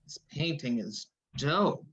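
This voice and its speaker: A woman's voice: low voice, lively intonation, slow, fairly bright tone, breathy edge, highs cut off, exaggerated voice. A woman playing a witty, teasing poet visiting an old lover in a script read, with an American accent and slangy, playful delivery. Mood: playful, easygoing. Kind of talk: informal screenplay table read.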